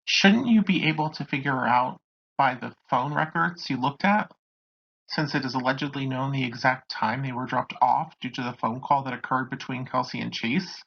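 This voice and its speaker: A narrator with a raspy voice hosting a true crime video, reading from a script on a dull home recording. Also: mid-range voice, moderate pace.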